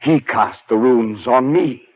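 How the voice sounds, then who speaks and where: A man acting in a 1940s radio drama as a haunted, educated gentleman, telling his story in a formal, deliberate, dramatic way. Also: mid-range voice. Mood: agitated, urgent. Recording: muffled 1940s radio recording, music behind.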